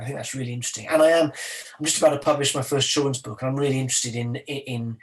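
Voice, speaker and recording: Middle-aged male poet, reflective and unhurried, a little distant from the mic. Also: mid-range voice. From South Wales. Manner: thoughtful, with stammer repetitions and fillers. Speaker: poet, guest. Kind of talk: casual webinar conversation. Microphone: video call.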